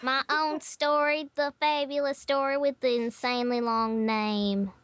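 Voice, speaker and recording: Slow, high, girlish woman's voice, noisy recording. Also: little pitch movement, fairly bright tone, no room sound. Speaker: podcast participant, fanfiction writer. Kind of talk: casual group chat.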